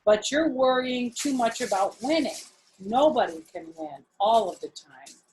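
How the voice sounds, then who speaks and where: A storybook mother voiced by a woman reader speaks to her young child. Her voice is fairly high, and she is firm, parental and instructive while staying reassuring. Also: animated, measured pace, a little mellow, a little hiss or hum, low-quality recording. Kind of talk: children's storybook character reading.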